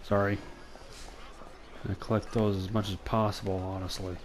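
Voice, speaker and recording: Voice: deep voice, flat delivery, slow, slight background noise. A gamer giving casual commentary while playing, muttering to themself with hesitation. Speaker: gamer playing through a game. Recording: game music behind the voice. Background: video game music.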